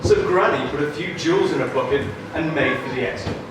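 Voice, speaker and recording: A man's voice: a little high, quick pace, background noise. A man telling a scripted puppet-show story as narrator at a live performance. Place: live puppet theatre show, far from mic. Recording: echoey hall, distant mic, loud music.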